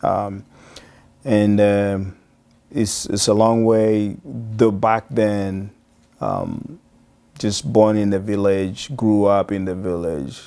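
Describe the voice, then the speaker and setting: Slow, low-voiced Tanzanian pastor. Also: male voice, gravelly, warm tone. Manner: hesitant, many fillers. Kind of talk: personal interview, telling life story.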